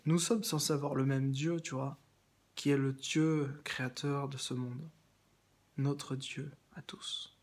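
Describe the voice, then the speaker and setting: A man with a husky, slightly breathy voice talks straight to one absent listener, addressing him by name and inviting him over. He rambles, circles back to the same phrases and restarts his sentences often. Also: mid-range voice. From France. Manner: meandering, repeats himself, many restarts and fillers. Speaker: video blogger addressing someone. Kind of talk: rambling direct-address monologue.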